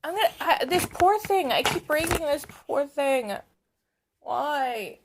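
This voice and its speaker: A woman talking to her camera in a hoarse voice that sits a little high. She rambles along casually and pokes fun at herself. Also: animated, slow, heavy background noise. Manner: chatty, rambling, self-mocking. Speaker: vlogger. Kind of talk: casual vlog chat. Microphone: camera on a stand.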